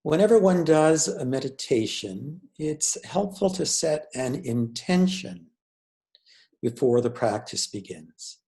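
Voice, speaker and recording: A man leading a guided meditation as a teacher, speaking in a measured, deliberate way with pauses between phrases. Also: fairly high pitch, big pitch swings, dark tone.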